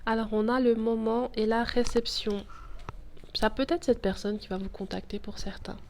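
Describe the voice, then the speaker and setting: A woman's voice: a little high, fairly even intonation, normal speed, vocal fry, darkish tone, slight background noise. A woman with a cold giving a casual tarot reading to camera, chatty and thinking aloud as she draws the cards. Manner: chatty, thinks aloud, laughs at her own fumbles. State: has a cold. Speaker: tarot reader.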